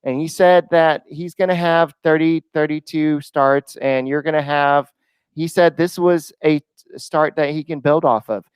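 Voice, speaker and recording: A man with a smooth, very clear voice co-hosts a casual sports podcast. He talks in a relaxed, hesitant way, often pausing on fillers. The recording is dry and close. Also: on the high side, no echo. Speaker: podcast co-host.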